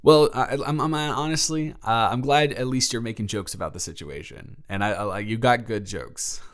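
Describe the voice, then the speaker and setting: A man's voice: medium pitch, moderate pace, lightly acted. A young man playing a shy, sweet college freshman in a romantic audio roleplay, stammering and rambling with earnest self-deprecation. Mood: nervous, excited. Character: shy, nervous, sweet young male college freshman from small-town Georgia. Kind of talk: romantic audio roleplay, one-sided conversational acting.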